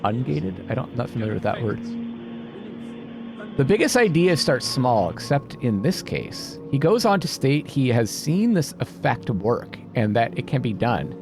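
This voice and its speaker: A man's voice: medium pitch, very animated, moderate pace, music in the background. A man playing a video game and commenting casually, thinking aloud and reading on-screen text as he goes. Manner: thinking aloud, puzzling things out.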